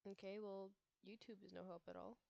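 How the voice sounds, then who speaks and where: A woman's voice: mid-range voice, level tone, a little fast, vocal fry, warm tone, no room sound. A woman with an American accent reading a visual novel aloud, stumbling over names and breaking off to chat. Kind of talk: reading game text aloud with chatty asides. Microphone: laptop microphone. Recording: dull lo-fi audio with game music behind.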